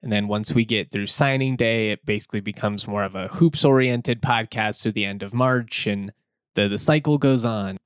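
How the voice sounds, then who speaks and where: A man hosting a sports podcast in a relaxed, conversational and opinionated way, his voice slightly hoarse. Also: on the low side, normal speed, dry recording. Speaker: podcast host. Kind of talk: casual sports podcast talk. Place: indoors, late at night.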